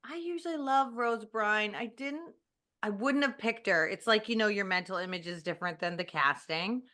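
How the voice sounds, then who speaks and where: A woman's voice: mid-range voice, moderate pace, pushed and bright. A woman in casual book club chat, enthusiastic and rambling, laughing often. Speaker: book club podcast member.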